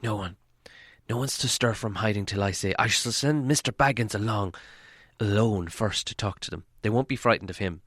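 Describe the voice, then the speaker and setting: Guy doing a gruff bossy dwarf chief from a storybook, wary and clipped, sharp tone, really dry sound. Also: fairly low pitch, moderate pace, dry recording. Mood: stern, cautious. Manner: terse, authoritative. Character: gruff, commanding dwarf leader, stern and wary. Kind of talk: storybook character voice.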